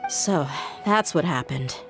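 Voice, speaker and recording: A woman's voice: deep voice, lively intonation, takes it slowly, music in the background, full character voice. An actress voicing a tough, principled young woman mercenary in a video game, with a frank, firm way of talking. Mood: subdued, questioning. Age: young adult. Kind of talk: dramatic video game dialogue.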